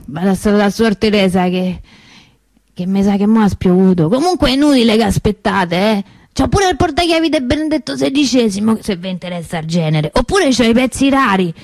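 An actress playing a chatty, cynical working-class souvenir hawker with a Roman accent in a radio monologue. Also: female voice, medium pitch, darkish tone, dry recording, lightly acted. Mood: wistful chatter. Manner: rambling street storyteller, wry, colloquial. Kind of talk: colloquial theatrical monologue for radio.

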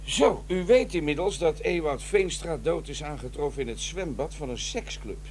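An actor playing a calm homicide detective who questions a witness, in a radio drama. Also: male voice, on the high side, normal speed, a little hiss or hum, close to an everyday voice. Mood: probing. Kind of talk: 1990s radio drama acting.

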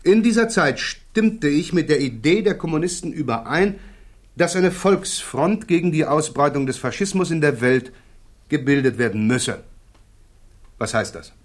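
An actor playing a probing, insistent prosecuting counsel in a German radio drama, speaking formally and precisely. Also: male voice, a little high, lively intonation, moderate pace. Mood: probing, pressing. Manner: formal, precise, pressing interrogation.

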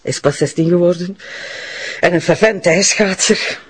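Husky middle-aged Flemish woman doctor. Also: fairly low pitch, normal speed. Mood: motherly. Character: middle-aged female doctor, polite, guarded mother. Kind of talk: radio drama acting.

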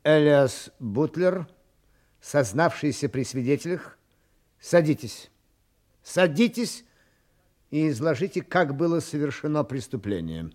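An officious police commissioner in a radio play. His voice sits a little high and moves animatedly as he interrogates. He is formal and probing. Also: very animated, unhurried, pauses often, darkish tone. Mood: official. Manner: formal, interrogating, muttering thoughtful hums.

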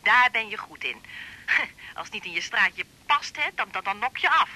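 A woman's voice: mid-range voice, expressive, normal speed, bright, forceful tone, poor audio. An actress with a Netherlands Dutch accent playing a spiteful, fickle young woman in a radio drama, curt, taunting and defiant. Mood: mocking, spiteful.

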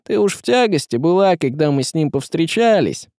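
A man's voice: a little high, very animated, brisk, a little mellow, dry recording, studio quality, pitched above the performer's own voice. A man voicing a guilt-ridden, downcast tsar in a storybook, confessing apologetically. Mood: guilty, pleading. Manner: apologetic, crestfallen.

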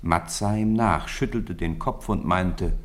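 Man, very deep voice, middle-aged, calm measured narration, radio drama studio. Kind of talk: scripted storybook narration.

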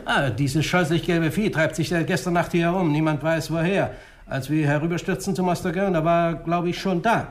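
An actor playing a chatty, genial newspaper columnist in a 1960s radio crime drama. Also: male voice, a little high, fairly even intonation, normal speed, close to an everyday voice. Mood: talkative. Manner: garrulous, genial, chuckling between remarks. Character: jovial, chatty small-town newspaper columnist. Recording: faint hiss of old radio recording.

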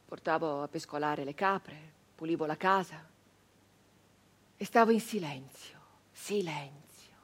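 Husky, grieving peasant woman, intense. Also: sits low. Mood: mournful, subdued. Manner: halting, intense, repeating phrases obsessively. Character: grieving 19th-century southern Italian peasant woman, traumatized, vengeful. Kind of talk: theatrical dramatic monologue for radio.

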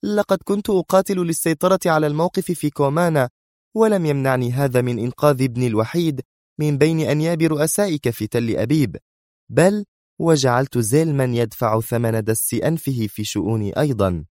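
A fierce female spy villain, acted out by a man in an audiobook thriller. The voice is pushed high and hard, stern and emphatic. It sounds domineering and quick to snap, in a close, dry recording. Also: a little mellow, dry recording, lightly acted. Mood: stern, boastful.

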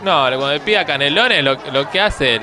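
Un hombre haciendo de médico argentino chanta y titubeante. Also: male voice, on the high side, music in the background, lightly acted. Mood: deadpan. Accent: Rioplatense Argentine. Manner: halting, deadpan, hesitant. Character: shady, bumbling thirty-something doctor, casually callous. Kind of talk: improvised radio comedy sketch.

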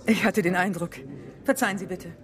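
An actress playing a surprised, apologetic acquaintance in a radio crime drama. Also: female voice, lowish voice, fairly even intonation, fairly quick, crowd noise, lightly acted. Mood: confused, apologetic. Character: surprised, apologetic acquaintance who mistakes someone's identity.

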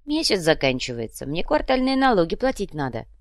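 A woman's voice: fairly low pitch, sing-song, fairly quick, no room sound, studio quality. Woman as a shrewd, wry male trader. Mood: regretful haggling. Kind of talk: audiobook character voice.

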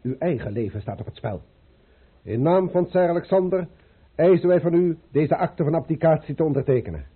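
A man's voice: fairly high pitch, animated, a little fast, slightly rough. An actor playing a stern, determined nobleman making an arrest in a radio play, formal and insistent in his delivery. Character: stern, determined male count leading an arrest party. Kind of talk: Dutch radio play acting. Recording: thin, phone-like old radio recording.

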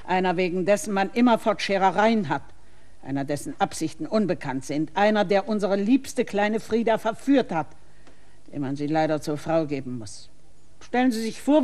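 An actress playing a stern, middle-aged innkeeper who lectures a stranger with condescension in a radio play. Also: female voice, mid-range voice, lively intonation, normal speed, dark tone, close to an everyday voice. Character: stern middle-aged woman innkeeper, guarding her maid.

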